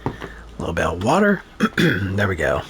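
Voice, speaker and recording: A man's voice: low voice, wildly swooping pitch, normal speed, fairly bright tone, a little hiss or hum. A man rambling through casual gameplay commentary, sarcastic and profane, with a voice that is starting to go. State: losing his voice. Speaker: gaming streamer.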